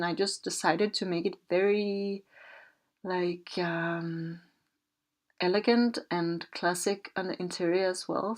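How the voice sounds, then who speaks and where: A young woman from Denmark, a Danish native speaker, chatting softly and hesitantly with many fillers while she narrates a building session. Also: lowish voice. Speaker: Sims builder and YouTuber. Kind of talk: casual voice-over while building in a game.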